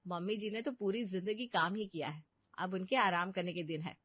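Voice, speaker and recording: A woman's voice: medium pitch, moderate pace, no room sound, highs cut off, natural voice. A woman voicing a respectful, dutiful young daughter-in-law in a family cartoon, speaking earnestly. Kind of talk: Hindi family cartoon story voice acting.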